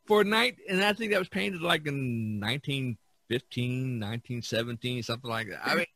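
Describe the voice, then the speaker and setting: Bright-voiced man hosting, halting delivery. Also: mid-range voice, expressive, no echo. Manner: halting, repeating words as he searches. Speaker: podcast host. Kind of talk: casual art discussion.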